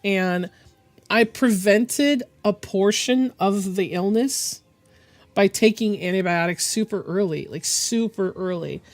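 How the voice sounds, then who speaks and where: A woman streaming while she draws, her voice hoarse and deepened by bronchitis, talking in a halting, rambling way and clearing her throat often. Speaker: digital art streamer. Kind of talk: casual live-stream chat.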